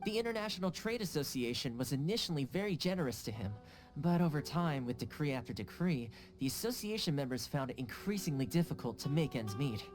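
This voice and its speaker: A woman's voice: low-pitched, expressive, fairly quick, darkish tone. An actress playing a composed, knowing woman informant in a video game, poised, tactful and explanatory. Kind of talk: video game character acting. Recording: music behind the voice.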